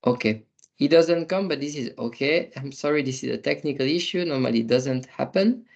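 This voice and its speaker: A man presents a software demo in a webinar, moving along haltingly. His sentences carry small grammar slips, and he keeps a mid-range, ordinary voice. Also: medium pitch, gravelly, highs cut off. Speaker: business developer presenting software.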